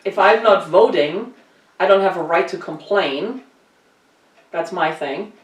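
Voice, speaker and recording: A woman's voice: fairly low pitch, a little slow. Woman from germany doing casual talk show chat, earnest and emphatic, sounds distant from the mic in an echoey room. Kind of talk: casual conversational opinion chat. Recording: roomy echo, distant from mic.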